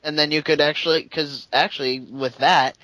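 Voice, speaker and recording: A man's voice: on the high side, steady tone, moderate pace, sharp tone, dry recording, poor audio. A man as guest on a casual gaming chat, sarcastic and swearing casually. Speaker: podcast guest.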